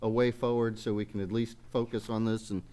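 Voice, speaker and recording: A man's voice: on the low side, level tone, fairly quick, warm tone. A man chairing a local government meeting in a businesslike way, steering the discussion along. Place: town committee meeting room.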